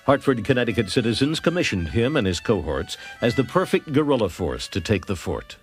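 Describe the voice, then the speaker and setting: A man's voice: medium pitch, sing-song, brisk, faint music behind. An American man narrating a scripted history documentary.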